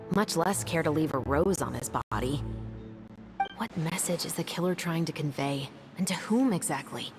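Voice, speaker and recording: Low-voiced, composed woman detective. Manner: measured, articulate, analytical. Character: composed, methodical young woman detective investigating a murder. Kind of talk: scripted video game dialogue.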